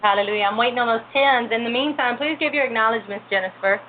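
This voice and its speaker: A woman's voice: on the high side, fast talker. A woman hosts a devotional program in a reverent way, offering formal blessings. Her voice comes through a narrow phone-like line, with faint noise around it. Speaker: religious show host. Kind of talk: devotional talk show greeting and testimony. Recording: muffled phone-like line, noisy ambience.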